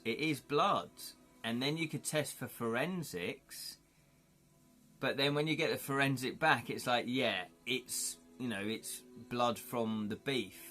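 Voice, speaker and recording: A man's voice: mid-range voice, unhurried, pushed and bright, soft background music. A man hosting a live stream, chatting casually and thinking aloud as he rambles with lots of "you know". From United Kingdom. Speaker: livestream host. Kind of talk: casual chat with viewers.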